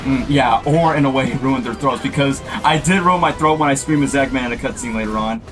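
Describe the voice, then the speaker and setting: A young man hosting a casual reaction video in an excitable, chatty manner. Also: mid-range voice, brisk. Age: young adult. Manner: excitable, breaks into mimicked voices and shouts. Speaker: host, amateur voice actor.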